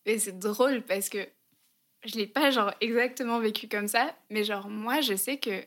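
A woman's voice: mid-range voice, normal speed, a bit bright. A young woman from France, co-hosting a podcast in casual chat, hesitant and thinking aloud with lots of fillers. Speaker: podcast co-host.

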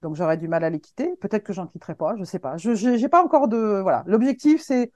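A woman in her fifties, a guest on a podcast, speaking in a casual, earnest and encouraging way, halting often to restart her sentences. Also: medium pitch, expressive, a little mellow, no echo. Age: 50s. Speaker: guest, web agency founder.

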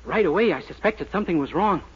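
High-voiced naive earnest young fellow. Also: male voice, lively intonation, fast talker, breathy edge, heavily acted. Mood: earnest, oblivious. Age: young adult. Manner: earnest and guileless. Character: naive, earnest, dim young man, cheerfully oblivious. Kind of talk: 1940s radio sitcom acting. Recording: muffled, noisy old radio broadcast.

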